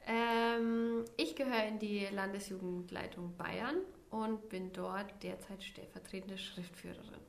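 A woman's voice: mid-range voice, steady tone, measured pace, creaky, hardly any pauses, a little hiss or hum. Young woman in an echoey room. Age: 20s. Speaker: podcast co-host. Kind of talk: casual podcast chat.

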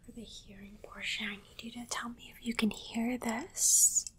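A woman's voice: on the high side, little pitch movement, natural voice. Whispering alien examiner played by a woman, noisy recording. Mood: calm, clinical. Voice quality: breathy whisper. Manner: soft, unhurried, repetitive, clinically reassuring. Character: calm alien examiner doing a clinical exam on a human. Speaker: ASMR performer. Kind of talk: whispered ASMR roleplay.

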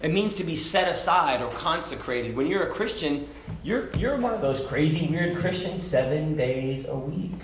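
A man preaching a sermon to a congregation, talking casually and then building to loud, fervent shouts. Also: fairly high pitch, animated, moderate pace, background noise. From Hong Kong. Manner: conversational preaching, rising to shouts. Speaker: pastor. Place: church sanctuary. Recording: echoey church room.

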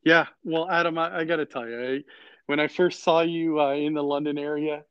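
A man hosting a podcast conversationally, effusive and halting as he repeats his phrases. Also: fairly high pitch, fairly even intonation, clear, resonant tone, no echo, muffled recording. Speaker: podcast host.

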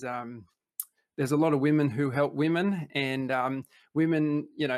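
A man hosting a podcast interview, talking in a halting, earnest way with many fillers and restarts. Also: mid-range voice, no room sound. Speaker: podcast host. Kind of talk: casual interview.

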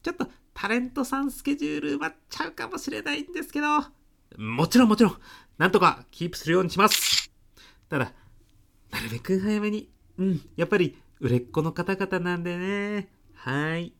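A woman's voice: medium pitch, animated, a little fast, creaky, fairly bright tone. A woman playing a polite, deferential young salaryman son-in-law in a comic radio drama, with a hesitant businesslike manner. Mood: businesslike, courteous.

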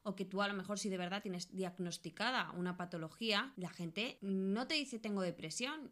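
Female podcast co-host, Spain accent, medium pitch, colloquial, frank and emphatic in casual conversation. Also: fairly bright tone. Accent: Spanish from Spain.

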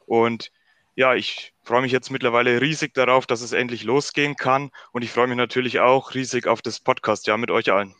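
Bright, clear young man, closely recorded. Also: mid-range voice, steady tone, a little fast, dry recording. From northern Bavaria, Germany. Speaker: podcast guest. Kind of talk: conversational interview answers.

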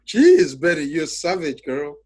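A man's voice: on the high side, sing-song, unhurried, darkish tone, breathy edge. A man co-hosting a podcast in casual chat, laughing often and stammering into his sentences. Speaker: podcast co-host.

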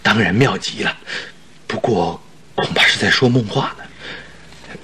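An actor playing a detective's polite, sceptical male companion in a radio drama, measured and doubting. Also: lowish voice, crowd noise, lightly acted.

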